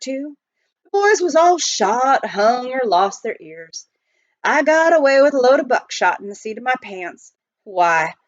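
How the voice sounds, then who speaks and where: Woman as a big reformed outlaw, folksy frontier drawl, amused and teasing, lively swooping pitch, storybook reading, dull dry recording. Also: no room sound, close to an everyday voice. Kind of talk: children's storybook read aloud.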